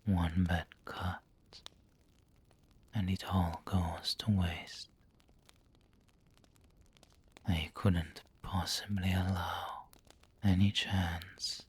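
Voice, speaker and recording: An aristocratic vampire lord, acted by a man, murmurs in a low, husky whisper for an ASMR roleplay. He is very slow and deliberate, with formal, snobbish refinement and a possessive, doting tenderness. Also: a little mellow, full character voice. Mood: tender, possessive. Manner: slow, deliberate, formal, pausing mid-phrase. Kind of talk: ASMR roleplay, intimate scripted monologue.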